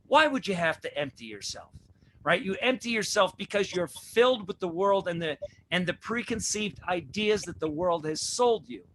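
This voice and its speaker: A man's voice: high-pitched, expressive, moderate pace, a bit bright, a little hiss or hum. A man hosting a religious talk show, preaching with repeated rhetorical questions. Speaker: host.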